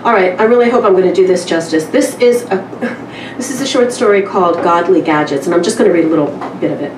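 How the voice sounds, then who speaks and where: A woman's voice: on the low side, quick pace. A woman speaking informally at a live literary event, with a candid, wry, conversational way of addressing the room. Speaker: publisher introducing and reading a story at a literary event. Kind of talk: informal live talk and story reading. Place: indoor live event room with small audience. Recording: roomy, distant mic with clear background noise.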